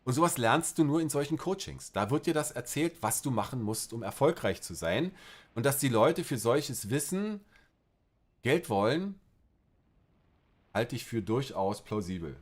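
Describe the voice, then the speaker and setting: A man's voice: medium pitch, sing-song, moderate pace. Rambling man with a Berlin accent. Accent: Berlin German. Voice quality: rambling, filler-heavy, colloquial. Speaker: livestream host. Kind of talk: casual livestream chat.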